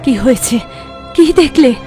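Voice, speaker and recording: A woman's voice: high voice, lively intonation, unhurried, over music. A woman playing an emotional, anxious young woman in a horror audio drama, pleading and agitated. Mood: alarmed, anxious.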